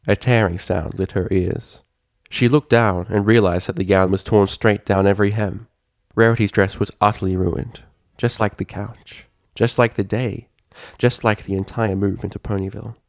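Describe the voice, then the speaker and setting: A man narrates scripted fiction in a low voice with a gravelly, creaky edge. He reads expressively and with drama, and the recording is very dry and close. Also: steady tone, moderate pace, no room sound.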